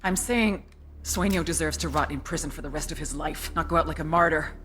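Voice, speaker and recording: An actress playing a ruthless, commanding intelligence agent in a video game, with a firm, blunt delivery. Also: female voice, low voice, a little fast, fairly bright tone, slight background noise. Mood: determined, forceful. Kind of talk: dramatic video game cutscene dialogue.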